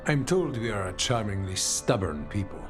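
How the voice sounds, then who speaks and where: An actor playing a dry, formal, grumbling leader of an assassin guild in a video game, deadpan and clipped. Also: male voice, on the low side, normal speed, over music. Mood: dry, mournful. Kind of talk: video game cutscene dialogue.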